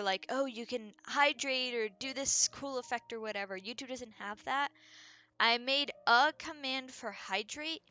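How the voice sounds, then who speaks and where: Bright, high-voiced woman streaming. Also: smooth. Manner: chatty, informal. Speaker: game streamer. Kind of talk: casual livestream commentary. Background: game music.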